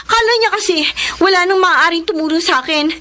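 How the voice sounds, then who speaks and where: Very high girlish young Filipino woman. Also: fairly quick, bright, forceful tone, slight background noise. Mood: relieved, chatty. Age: young adult. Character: sweet young university student, devoted daughter. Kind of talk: radio drama acting.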